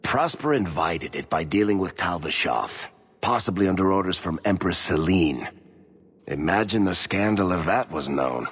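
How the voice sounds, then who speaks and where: An actor playing a wry, roguish storyteller with a rough, husky voice in a video game. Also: male voice, deep voice, lively intonation, moderate pace. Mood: sly, amused. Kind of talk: video game cutscene acting. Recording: long reverberant hall.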